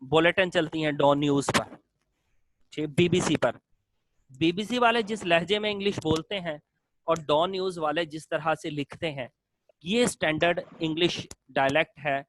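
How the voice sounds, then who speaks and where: A man's voice: a little high, normal speed, a bit bright, slight background noise, poor audio. A male lecturer explains a topic in a classroom style, repeating phrases in long lists and mixing in English terms.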